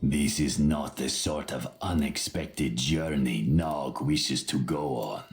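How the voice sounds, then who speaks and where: An actor voicing a reluctant, grumbling fantasy creature in a video game. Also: male voice, very deep voice, slow pace, slight background noise, exaggerated voice. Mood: grumbling reluctance. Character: reluctant, grumbling creature speaking of himself in third person.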